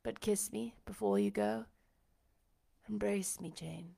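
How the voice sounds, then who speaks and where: Woman acting an anguished, imperious blind gentleman. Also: medium pitch, fairly even intonation, slow pace, vocal fry, heavily acted. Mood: desolate, yearning. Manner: dramatic, demanding, emotional. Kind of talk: audiobook character acting.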